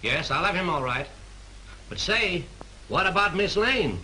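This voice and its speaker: An actor playing an eager, obliging assistant on a film set, in an old 1930s film recording. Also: male voice, high voice, animated, a little slow, bright, forceful tone, exaggerated voice. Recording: scratchy 1930s film soundtrack.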